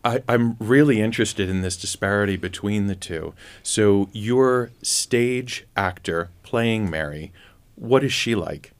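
A man with a fairly low, smooth voice conducts an interview. He sounds conversational and inquisitive, sometimes restarting a phrase as he shapes a question. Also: unhurried. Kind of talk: conversational radio-style interview.

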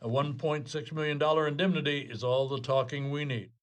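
A man's voice: on the high side, fairly even intonation, a little fast, lightly acted. Man playing a wry, theatrical police detective. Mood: stern, triumphant. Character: wry, commanding male police detective unmasking a culprit. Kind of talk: comedy whodunit radio play acting.

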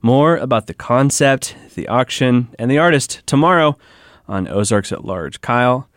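A man's voice: medium pitch, lively intonation, normal speed, no room sound. Male radio co-host, close dry sound. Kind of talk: hosting a public radio magazine show. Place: radio studio. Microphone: close studio mic.

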